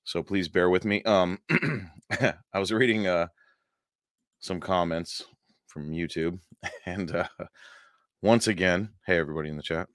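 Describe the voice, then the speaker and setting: A hoarse man hosting a paranormal podcast livestream, chatting casually and rambling with many fillers and chuckles. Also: deep voice, unhurried, pauses often, no echo, studio quality. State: hoarse, losing his voice.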